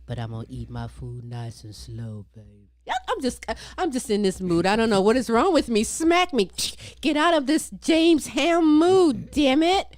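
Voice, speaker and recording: A woman's voice: fairly high pitch, very animated, moderate pace, darkish tone, no echo. A woman hosting a talk show with a playful, sassy manner, stretching her words and trading banter with her co-host. She has a Midwestern American accent. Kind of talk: casual show chat.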